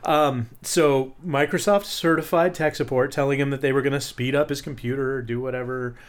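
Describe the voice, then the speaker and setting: A man's voice: medium pitch, steady tone, fairly quick. A man co-hosting a podcast in casual chat, talking in a sarcastic, profane, ranting way. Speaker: podcast co-host.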